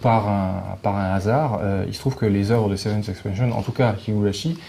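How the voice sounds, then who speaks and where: A man giving a casual interview as a guest, speaking in a hesitant, halting way and often restarting his phrases. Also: fairly low pitch, steady tone, warm tone. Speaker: video game translator, interview guest. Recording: clearly noisy background ambience.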